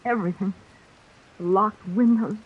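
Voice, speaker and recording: Casting: actress as plain-spoken, shrewd suspense heroine; husky, wry voice, old radio thriller, dull vintage recording. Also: female voice, mid-range voice, moderate pace, natural voice. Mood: shaky, weary. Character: tough, wry, shrewd young heroine under threat.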